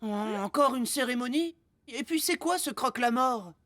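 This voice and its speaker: Sensible, high-voiced cartoon boy. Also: animated, normal speed, a bit bright, no room sound, clean studio sound. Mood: matter-of-fact, reluctant. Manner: matter-of-fact, reluctant. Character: sensible, slightly grumpy boy, bookish stargazer brother. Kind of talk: French animated cartoon dubbing.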